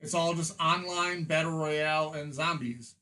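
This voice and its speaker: Guy with a kinda higher voice just chatting on a podcast panel. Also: steady tone, moderate pace, pushed and bright. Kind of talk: casual film and game discussion.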